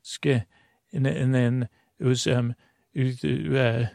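A sleepy kid, played by a man, murmurs low-key nonsense in halting, repeated scraps. The voice is creaky and very close and dry, as if half dreaming through an improvised interview. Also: steady tone, darkish tone, no room sound. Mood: drowsy, muddled. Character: drowsy sleeping kid mumbling nonsense answers.

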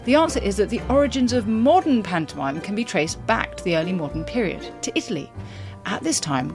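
A woman hosting a podcast, speaking in an articulate, measured way as she introduces topics and questions a guest. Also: on the low side. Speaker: podcast host. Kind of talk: scripted introduction and interviewing. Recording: music under the voice. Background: music.

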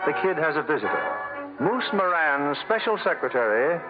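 A male 1950s radio announcer reads scripted narration in a fairly high voice. The broadcast is tinny and narrow, and loud music plays behind him. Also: big pitch swings, normal speed.

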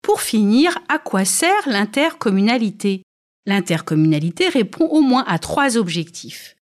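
Lively French woman presenting an explainer. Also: mid-range voice, a little slow. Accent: French from France. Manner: didactic, structured, poses questions then answers them. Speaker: podcast presenter and editor. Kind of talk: scripted educational explainer.

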